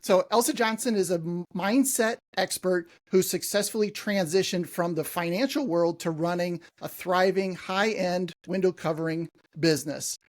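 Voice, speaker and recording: A man's voice: high voice, normal speed, hardly any pauses. A man hosting a marketing podcast, chatting in a friendly, casual way with frequent fillers. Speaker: podcast host. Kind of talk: podcast interview.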